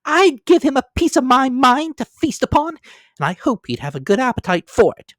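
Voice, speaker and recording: A man voicing an indignant, sharp-tongued middle-aged mother, scolding and emphatic. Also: extremely high pitch, big pitch swings, moderate pace, no echo, voice pushed up, exaggerated voice.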